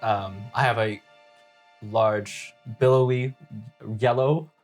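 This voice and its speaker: A man playing a tabletop game, improvising a character description in a hesitant, halting delivery. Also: medium pitch, steady tone, faint music behind.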